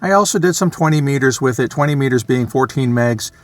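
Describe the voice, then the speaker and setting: A man with a medium-pitched voice talks through a hobby explainer in a casual, unscripted way. He sounds conversational, working out his explanation as he speaks. The recording is close and dry, with faint music in it. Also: fairly quick, no echo. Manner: conversational, thinking aloud, loosely explaining. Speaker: amateur radio hobbyist and video presenter.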